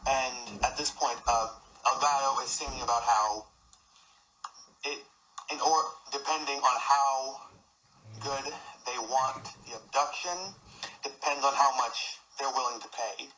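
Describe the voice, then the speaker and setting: A woman giving an informal, halting explanation as the host of an amateur audio production. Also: rumbling low, fairly even intonation, sharp tone, slight background noise, poor audio. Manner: halting, searching for words.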